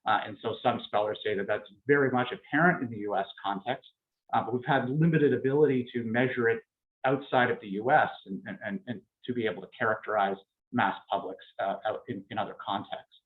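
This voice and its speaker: A man delivers an academic lecture at a mid-range pitch. He explains carefully and hedges with many fillers. The sound is thin and tinny, like a phone line. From Canada. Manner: measured and explanatory, hedging with frequent fillers. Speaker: political science lecturer.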